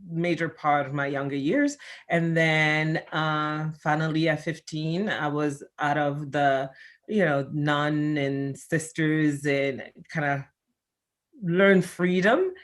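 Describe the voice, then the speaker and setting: Middle-aged woman guest, born in Congo and raised in Belgium, chatty with many fillers. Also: low-pitched. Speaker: interview guest. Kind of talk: casual interview answers.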